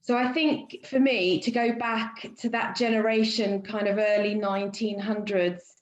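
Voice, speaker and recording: A woman guest speaking thoughtfully in a podcast chat, pausing often with "um" as she gathers her thoughts. Her mid-pitched voice carries a clear room echo. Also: steady tone. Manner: reflective, hesitant, many fillers. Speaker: student guest.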